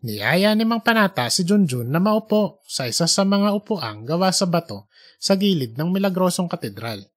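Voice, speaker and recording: A storyteller narrates fiction in a casual, playful audiobook style. The voice sits at a medium pitch and carries light humor. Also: sing-song, moderate pace.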